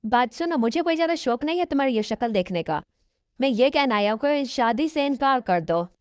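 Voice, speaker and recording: A woman's voice: sits high, expressive, fairly quick, clear, resonant tone, no room sound. A woman voicing a haughty, sarcastic young male lecturer in a cartoon, scornful and bossy. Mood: indignant. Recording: dull, lo-fi audio.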